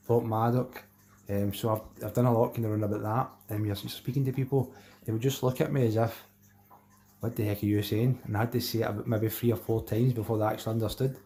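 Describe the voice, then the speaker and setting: A man's voice: lowish voice, vocal fry, mellow. A man with a Glaswegian accent chatting casually on a fishing live stream, replying to viewers in a matey, rambling way with colloquial Scots wording. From Glasgow, Scotland. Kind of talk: casual live chat, reading and answering viewer messages.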